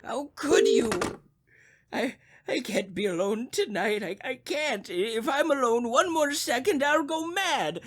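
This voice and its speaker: A sickly, crotchety old man in a suspense radio play. His voice is husky and sharp, swinging wildly as he stammers and frets. He sounds anxious, demanding and melodramatic. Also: very high-pitched, animated, a little slow, heavily acted. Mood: distraught, indignant. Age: older. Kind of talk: old radio thriller acting.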